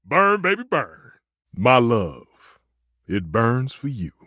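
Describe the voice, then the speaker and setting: Give this one to a proud, food-obsessed stove who thinks like a master chef. His voice is slightly husky and sits a little high for a man, leaping wildly up and down. He speaks in pompous, flowery phrases and flares into exasperation, all in a close, dry video game sound. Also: wildly swooping pitch, slow, sharp tone, no room sound, exaggerated voice. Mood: amorous. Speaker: voice actor in a dating simulator game. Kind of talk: theatrical video game character acting.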